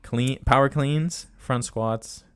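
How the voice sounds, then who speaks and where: A man's voice: mid-range voice, slow pace, smooth. A man in his twenties hosting a casual fitness podcast, chatty and laughing as he tells stories. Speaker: podcast host and coach.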